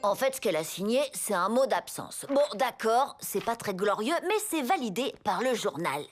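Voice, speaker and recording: A woman's voice: medium pitch, big pitch swings, normal speed, soft background music. A woman voicing a cheeky, scheming eleven-year-old schoolboy in a French cartoon, with a cocky, theatrical delivery. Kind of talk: French cartoon dialogue and narration.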